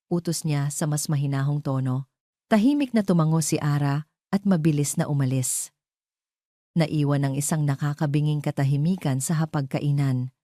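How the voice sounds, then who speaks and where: A woman's voice: deep voice, dark tone, no echo, clean studio sound. A woman narrating a scripted romance story.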